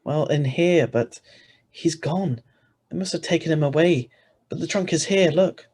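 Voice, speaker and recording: A man's voice: a little high, unhurried, darkish tone, husky. A man playing an ordinary, easily flustered married man who narrates his own story in an old-style radio play, read over a video call. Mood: agitated, insistent. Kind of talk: 1940s radio acting read aloud.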